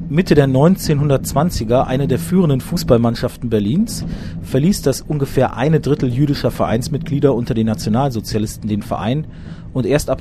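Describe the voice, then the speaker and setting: A man in the middle of the pitch range narrates a scripted documentary report, reading dates and facts in a measured, matter-of-fact way. The recording is noisy, with traffic sound running clearly behind the voice.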